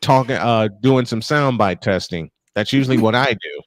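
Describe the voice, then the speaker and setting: A man's voice: medium pitch, lively intonation, moderate pace, dry recording. A man doing live baseball play-by-play, folksy and chatty as he banters with co-hosts. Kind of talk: live sports commentary with casual banter.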